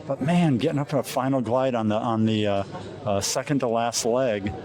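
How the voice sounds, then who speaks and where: An American man in a casual interview as a pilot, recounting things in a halting way with frequent pauses mid-phrase. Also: mid-range voice, a little mellow. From Alaska, USA. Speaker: competition glider pilot being interviewed. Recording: crowd chatter in the background.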